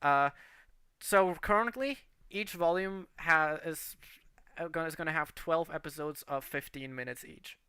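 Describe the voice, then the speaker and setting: A man from Portugal who learned English later talks through his writing plans. He rambles and restarts often. His voice sounds smooth, and the close mic keeps it dry. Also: on the high side, unhurried, no room sound. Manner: animated, rambling with many restarts and fillers. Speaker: series creator and writer. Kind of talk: casual livestream chat.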